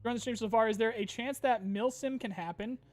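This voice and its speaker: A man's voice: very high-pitched, fast talker, a little mellow, faint music behind. A man streaming a casual chat, reading viewer questions aloud and answering loosely with fillers, a little tired and his voice getting strained.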